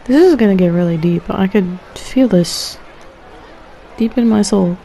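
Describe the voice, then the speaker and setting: A woman's voice: mid-range voice, unhurried, creaky, warm tone, a faint crowd, a little hiss or hum, low-quality recording. A woman streamer giving casual, sarcastic and exasperated reactions while playing a game. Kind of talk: casual reactive game commentary with read-aloud dialogue choices.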